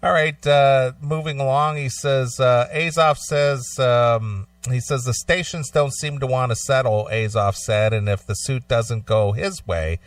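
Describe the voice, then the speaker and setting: Guy cohosting a talk show, kinda low voice, sits back from the mic, no echo, trips on words a bit. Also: distant mic. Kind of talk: casual discussion and reading a news article aloud.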